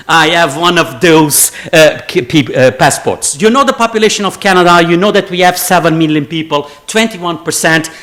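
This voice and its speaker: A man's voice: a little high, fairly bright tone. A middle-aged man from the Azores gives a conference talk in a lecture room. He is jet-lagged and tired, yet chatty and digressive, and he throws joking asides to the audience. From Azores, Portugal. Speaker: conference speaker, geographer.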